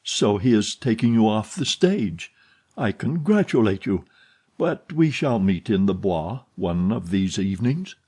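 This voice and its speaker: A man's voice: fairly low pitch, big pitch swings, unhurried, darkish tone, breathy edge, heavily acted. A man voicing a jealous, bitter young man in an audiobook reading, delivering impassioned and sarcastic outbursts.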